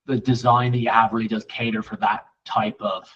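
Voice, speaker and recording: A man's voice: on the low side, little pitch movement, a little fast, a bit bright. A man hosting a conversational real estate interview, speaking in a rambling, agreeable way. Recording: distant, dull lo-fi microphone.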